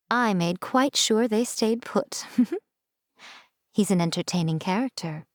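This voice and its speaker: A woman voicing a sly, elegant fox-spirit shrine priestess in a video game, with coy, teasing, haughty and measured delivery. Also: medium pitch, a little mellow, dry recording, clean studio sound, natural voice. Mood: amused, sly. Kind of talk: game character voice lines, theatrical monologue.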